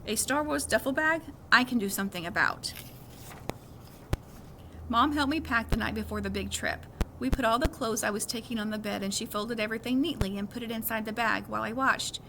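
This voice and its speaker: A woman's voice: on the high side, a little fast. A woman reading a children's novel aloud as a first-person story. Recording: clearly noisy background.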